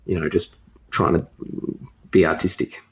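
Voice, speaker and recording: Podcast guy giving opinions, casual chat, kinda low voice, slightly lo-fi sound. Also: little pitch movement, measured pace, slight background noise. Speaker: podcast co-host.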